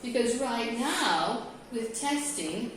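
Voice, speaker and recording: A woman's voice: on the high side, lively intonation, slow pace, slight background noise. A woman from California leading a classroom discussion as an English teacher trainer, chatty and checking in with her group. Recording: roomy echo, mic from a distance.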